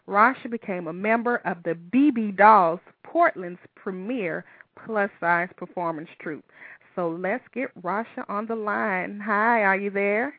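A woman's voice: mid-range voice, no room sound. A woman hosting a talk show, introducing guests and asking interview questions. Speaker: host. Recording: muffled, slightly lo-fi, dry audio.

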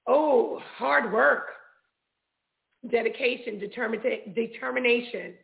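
Woman, phone-like sound, very creaky voice, casual game chat, playful exclamations. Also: a little high, measured pace. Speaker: guest being interviewed. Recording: narrow phone-like band.

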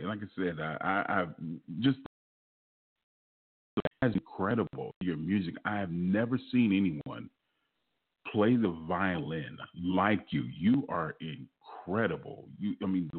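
A man with a very low, husky voice interviews a guest, praising her and tripping over restarts. His voice comes through a narrow, phone-like band. He sounds eager and sincere. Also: lively intonation, unhurried. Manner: admiring, stumbling restarts. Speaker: radio show host.

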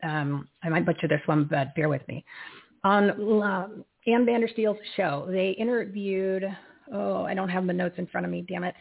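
A woman's voice: on the low side, moderate pace, gravelly. A woman hosting a live radio talk show, rambling as she loses her train of thought and laughing at herself. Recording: tinny phone-like line.

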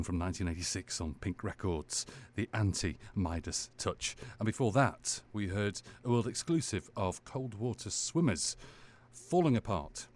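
A man's voice: low-pitched, a little mellow. A man presenting music on the radio between songs, talking casually with many ums and stumbling repeats.

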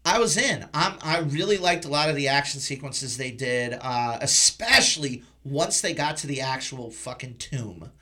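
A man's voice: mid-range voice, expressive, normal speed, few pauses, sharp tone. A man hosting a podcast, chatting casually and informally about films in a loose conversational way. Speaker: podcast host. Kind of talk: casual film review chat.

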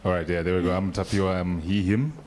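A man's voice: low voice, steady tone, quick pace, darkish tone. A man from Zimbabwe, chatting casually and wryly as a guest on stage. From Harare, Zimbabwe. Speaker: panel guest, rope performer. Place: live event with an audience.

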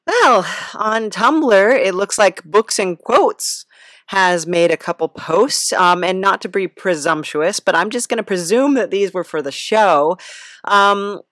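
Woman host, casual comedy interview. Medium pitch, slow halting delivery, giggly, dry close sound. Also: fairly bright tone, no echo.

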